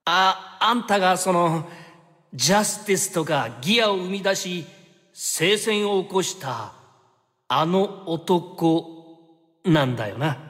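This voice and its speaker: A man's voice: high voice, animated, slow, a bit bright, heavily acted. An actor playing an easygoing young male time traveler in an anime game, stammering and flustered, with casual yet nervously polite speech. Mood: hesitant, polite. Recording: long reverb over background music.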